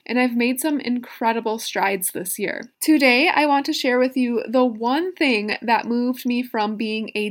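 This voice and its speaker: Earnest woman in her thirties, podcasting. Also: on the high side. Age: 30s. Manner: earnest, personal storytelling with emphatic asides. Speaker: podcast host. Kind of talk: motivational solo podcast.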